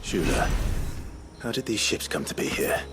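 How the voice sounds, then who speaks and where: A very deep, stoic warrior. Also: male voice, sing-song, moderate pace, over loud music. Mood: dry, inquiring. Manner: restrained, dry, terse. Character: stoic male fantasy warrior, reserved, dryly wry, courteous. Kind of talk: video game cutscene acting.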